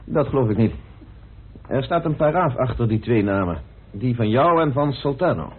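A methodical detective confronting his suspects in a radio drama. His very husky voice moves freely in pitch and presses on insistently with deliberate pauses, on a muffled, phone-like old recording. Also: animated. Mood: methodical, pressing. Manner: deliberate, accusing, theatrical pauses. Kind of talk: radio play acting in Dutch.

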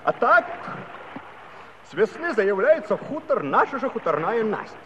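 An actor playing a garrulous old Cossack villager, telling a comic tale with pompous, folksy turns of phrase. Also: male voice, sits high, sing-song, normal speed, fairly bright tone. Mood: aggrieved, chatty. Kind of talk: comic storytelling in a musical radio play. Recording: dull, noisy old 1970s recording.

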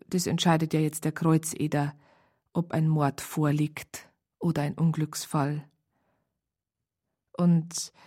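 Low-voiced police psychologist. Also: female voice, dark tone. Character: sober, wryly observant female police psychologist. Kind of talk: radio drama narration and dialogue.

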